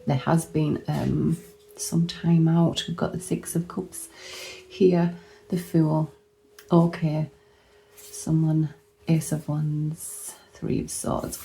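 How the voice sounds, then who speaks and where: Hoarse woman reading tarot cards. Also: deep voice, mellow, slight background noise, poor audio. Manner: chatty, thinking aloud while pulling cards, with restarts. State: hoarse, recovering lost voice. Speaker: tarot reader. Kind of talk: casual tarot card reading.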